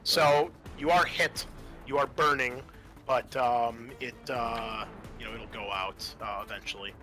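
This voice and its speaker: A man with a bright, forward voice runs a tabletop game. He talks casually and haltingly, working things out aloud, with music underneath. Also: mid-range voice, steady tone. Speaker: game master.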